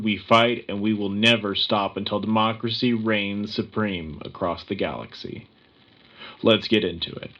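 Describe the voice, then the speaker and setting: A man's voice: fairly low pitch, muffled recording. A man playing a patriotic wartime radio news anchor, delivering a scripted broadcast in a dramatic, declamatory way. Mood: defiant. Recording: music bed behind the voice.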